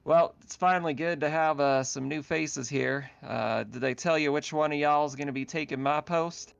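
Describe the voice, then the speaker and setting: A man acts out a world-weary colony boss in a tabletop game, folksy and hesitant. The voice is smooth and lifted a little, through slightly muffled audio. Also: fairly high pitch, moderate pace, voice pushed up. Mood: weary, casual.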